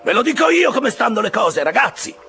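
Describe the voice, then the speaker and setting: A man's voice: very high-pitched, sing-song, fairly quick, bright, forceful tone, slight background noise, pitched above the performer's own voice, heavily acted. A man voicing a boisterous whaleboat officer who taunts and cajoles his oarsmen in an audiobook story. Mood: roaring, taunting. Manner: exclamatory, taunting, mock-exasperated, wheedling and bellowing at his crew.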